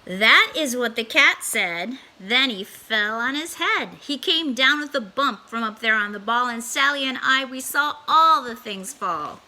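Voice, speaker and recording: A woman in her forties reading a children's storybook aloud in an expressive narrating style. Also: fairly high pitch, a little slow, hardly any pauses, poor audio. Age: 40s. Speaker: storybook reader.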